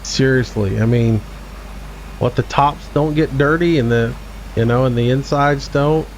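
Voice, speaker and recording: A man's voice: medium pitch, unhurried, slight background noise, rough recording. A man in his forties giving casual, laid-back gameplay commentary as a streamer, chatting back to his viewers. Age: 40s. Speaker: game streamer.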